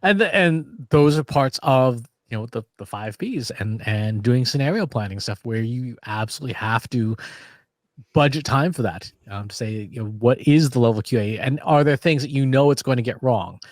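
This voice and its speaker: A man's voice: medium pitch, moderate pace, dry recording. Man co-hosting a tech podcast, dry sound. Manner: explains with analogies, frequent restarts. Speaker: podcast co-host. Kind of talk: casual conversational podcast chat.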